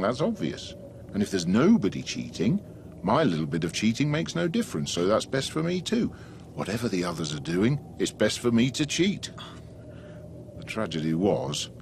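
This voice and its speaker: A man in a radio drama, wry and full of excuses, reasoning aloud as he argues. His pitch is lowish and moves around a great deal, with some noise behind him. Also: darkish tone. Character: self-justifying man, a wry cheat from a thought experiment.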